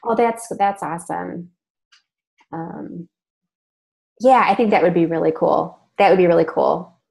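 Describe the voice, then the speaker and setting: A woman's voice: lowish voice, steady tone, a little slow, vocal fry, darkish tone. A woman hosting a webcast over a video call, chatty and informal, with frequent ums and self-corrections. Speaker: webcast host. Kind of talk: casual group discussion.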